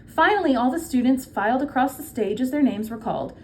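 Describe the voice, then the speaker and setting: Woman, fairly high voice, children's read-aloud, teacherly, simple explanations, questions to listeners, some background noise. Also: lively intonation, a little fast, a little mellow. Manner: explains simply, prompting listeners to talk to partners. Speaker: teacher reading a children's book to a class.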